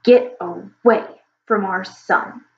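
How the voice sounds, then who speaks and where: A woman voicing a huge, fierce father dragon who growls his lines in a lowered voice. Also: fairly even intonation, quick pace, creaky, a little hiss or hum, lightly acted. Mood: menacing. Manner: growling, menacing. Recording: roomy, slightly dull recording.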